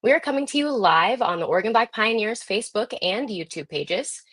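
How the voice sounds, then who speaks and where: A woman's voice: medium pitch, animated, a little fast, a bit bright, no room sound. A woman hosting a live online program, addressing viewers directly in a presenter's introduction style. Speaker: host. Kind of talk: scripted-sounding live show introduction.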